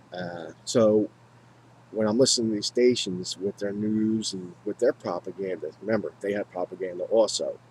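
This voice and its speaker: An American man talking casually and unscripted to viewers as a hobbyist, in a halting, rambling way, often repeating himself. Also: lowish voice, a little mellow, a little hiss or hum, rough recording. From United States. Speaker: hobbyist video maker.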